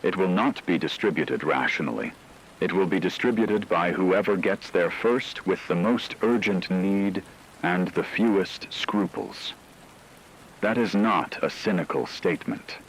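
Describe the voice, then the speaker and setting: Guy acting as a grave emergency radio announcer, deep voice, measured n formal, some noise behind him. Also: rough recording. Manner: measured, formal, somber delivery. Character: grave male emergency radio announcer during global blackout. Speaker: radio news broadcaster. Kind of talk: scripted emergency radio broadcast narration.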